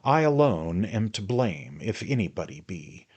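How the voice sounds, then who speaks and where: A man reading aloud and voicing a kindly, dignified elderly gentleman who speaks courteously but firmly. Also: fairly low pitch, sing-song, husky, dull audio, full character voice. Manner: courteous, firm and measured.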